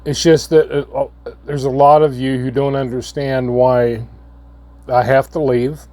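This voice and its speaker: A hoarse man, coming down with a cold, giving a halting, earnest update to his viewers. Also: medium pitch, level tone, mellow. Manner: halting, repeats words, slow and earnest. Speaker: channel host. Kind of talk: casual vlog update.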